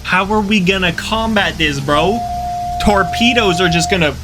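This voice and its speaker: A young man doing casual reaction commentary as a video host, talking in slangy, excitable exclamations. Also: high-pitched, moderate pace, over music.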